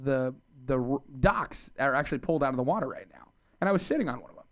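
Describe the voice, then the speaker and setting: A man's voice: mid-range voice, animated, quick pace. A man co-hosting a casual radio talk show, telling stories through laughter and arguing his point with the others. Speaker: radio co-host. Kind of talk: casual banter.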